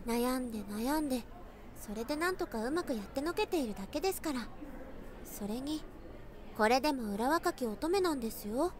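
A woman playing a polite, earnest teenage high school girl in an amateur audio drama, speaking in courteous, formal phrasing. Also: expressive, normal speed. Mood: modest, hesitant.

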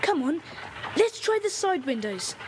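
A bossy eager boy, high lively voice, tape hiss. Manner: brisk, decisive, eager. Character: bold boy leader of the gang, Peter. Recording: noisy tape recording.

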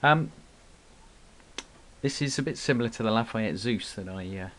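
A man giving an unscripted hobbyist product demonstration in a halting way, with frequent pauses, recorded indoors on a camera mic. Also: on the low side. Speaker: radio hobbyist presenting gear. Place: indoor room at a radio desk. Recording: clear background noise, clicks.

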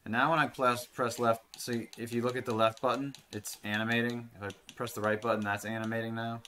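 A man's voice: mid-range voice, flat delivery, a little fast, sharp tone, slight background noise. A man in his thirties casually talking through a retro handheld game he is playing, rambling and swearing now and then, on a desk mic at home. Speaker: retro gaming channel host. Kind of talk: casual gameplay commentary.

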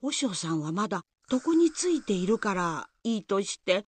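A woman's voice: mid-range voice, very animated, moderate pace, breathy edge. A woman narrator reading a scripted Japanese folktale in the style of a radio drama. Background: music.

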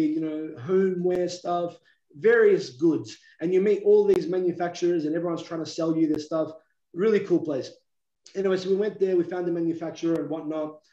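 A man's voice: fairly high pitch, warm tone. A man in his thirties, a business owner, chatting casually on a video call from an office, with lots of ums. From Australia. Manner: chatty, gestures with his hands, many ums. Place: office, indoors. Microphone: webcam on a video call. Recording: echoey, muffled video call.

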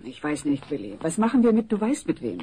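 A woman's voice: sits low, big pitch swings, brisk, mellow. An actress playing a worried, weary suburban wife and mother in a comedy audio play. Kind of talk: German sitcom audio play dub. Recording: noisy old cassette recording.

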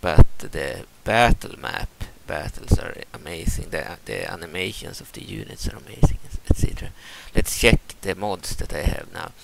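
A young Swedish man speaks English with a Swedish accent in a deep, low register with heavy vocal fry. He talks casually and haltingly, often stopping to restart a thought. A faint hiss sits under the recording. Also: expressive. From Sweden. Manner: hesitant, many fillers and restarts. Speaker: gaming channel host. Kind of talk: casual gameplay mod walkthrough.

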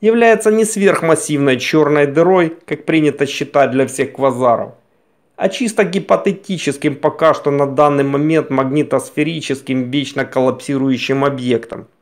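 A man narrating a scripted science documentary in a measured, explanatory manner. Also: on the high side.

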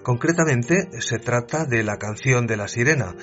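A man's voice: mid-range voice, animated, normal speed. A man hosting a radio program about film music in a conversational way. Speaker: radio host, author. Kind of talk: radio chat. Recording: dull audio with music.

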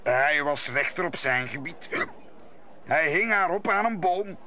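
A man's voice: on the high side, expressive, a little slow, bright, forceful tone. An actor playing a brooding, worldly musketeer nobleman in an old Dutch radio play, telling things with dramatic deliberation. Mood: bitter, dramatic.

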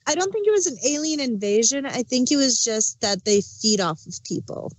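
A woman speaks as a panelist in a casual discussion. Her voice is fairly high, and the recording is very dry, with no room sound around her. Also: lively intonation, normal speed, dry recording, rough recording. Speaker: review podcast panelist. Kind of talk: casual chat.

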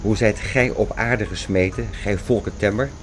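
A man's voice: fairly low pitch, normal speed, a little hiss or hum, natural voice. An actor playing a fervent man expounding apocalyptic theories in a radio play, insistent, didactic and declamatory. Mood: excited, insistent. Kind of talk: radio play dialogue, insistent lecturing and reciting.

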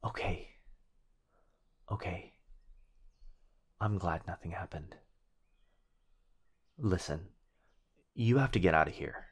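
Man playing an earnest low-voiced protective boyfriend. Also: takes it slowly, pauses often, breathy edge, heavily acted. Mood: urgent, worried. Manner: urgent, earnest. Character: anxious, protective young sorcerer boyfriend. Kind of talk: ASMR roleplay.

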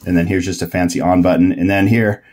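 A man's voice: deep voice, flat delivery, fast, a little mellow. A man giving a casual, unscripted DIY tutorial, thinking aloud and correcting himself in an easygoing way. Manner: easygoing, laughs at own mix-ups. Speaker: DIY electronics builder and presenter.

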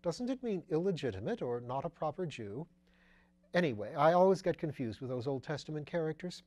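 A man's voice: very animated, fairly quick, a little mellow. A chattering elderly duchess, voiced by a man in a storybook reading, running one bit of gossip into the next with lifted, fluttery phrases. She is kindly, scatterbrained and never stops for breath. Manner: breathless rambling gossip, lifting high on phrases.

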